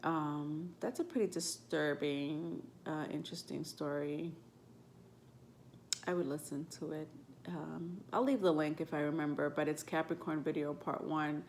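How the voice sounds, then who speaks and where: Stuffed-up woman vlogger with a low voice, loose and rambling delivery, filler-heavy, slight room hiss. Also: fairly even intonation, measured pace, darkish tone. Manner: rambling, frequent ums and self-corrections. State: stuffed up nose. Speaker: tarot and astrology vlogger. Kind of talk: casual talk to camera.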